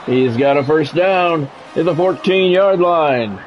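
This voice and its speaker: Male game streamer, lightly higher voice, polished clipped play-by-play with casual asides, music in the background. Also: slow, lo-fi sound, slight background noise. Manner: practised radio-style play-by-play, clipped phrases. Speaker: streamer doing play-by-play of a football video game. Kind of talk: sports commentary mixed with casual chat.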